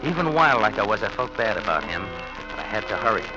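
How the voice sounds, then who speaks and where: A man's voice: lowish voice, big pitch swings, fast. An actor playing a bitter, murderous husband in an old radio thriller, menacing and sardonic as he confesses his crime. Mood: grim confiding. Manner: menacing, sardonic, taunting with pet names. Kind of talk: 1940s radio thriller acting and first-person narration. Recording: muffled old radio recording with loud music.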